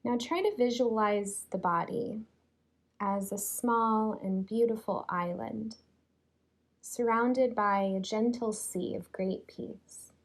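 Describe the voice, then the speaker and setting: A woman leading a guided yoga relaxation in a soothing, unhurried way, leaving long pauses between phrases. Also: on the high side. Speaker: yoga nidra teacher.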